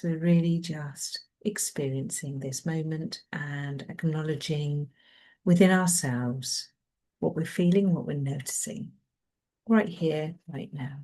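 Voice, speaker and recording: Woman, meditation guide, slightly low pitch, relaxed mellow tone, gentle, soothing, long pauses. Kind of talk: guided mindfulness meditation, softly spoken.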